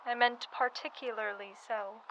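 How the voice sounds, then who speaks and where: A woman playing a brisk, watchful hospital doctor of the 1910s in a radio play, proper and admonishing. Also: fairly high pitch, steady tone, fairly bright tone, highs cut off, a little hiss or hum, lightly acted. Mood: concerned.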